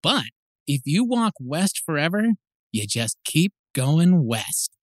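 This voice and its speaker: A man's voice: fairly high pitch, big pitch swings, slow pace, no echo, studio quality, full character voice. A man playing a teasing, jokey, easygoing dad in an audiobook. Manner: jokey, casual. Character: playful, teasing, easygoing dad of a young boy. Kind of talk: full-cast audiobook acting.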